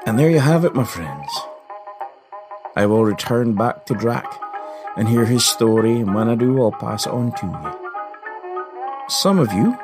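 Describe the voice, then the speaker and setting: A man's voice: mid-range voice, huge pitch swings, a little slow, long pauses, music in the background. A Scottish man hosting a story podcast, narrating in the first person in a folksy, confiding way.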